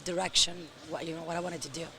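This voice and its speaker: A middle-aged woman from Europe, hoarse and tired-voiced, answering interview questions slowly and in a measured way. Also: fairly low pitch, fairly even intonation, pushed and bright. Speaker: interview guest, company founder. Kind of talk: casual interview.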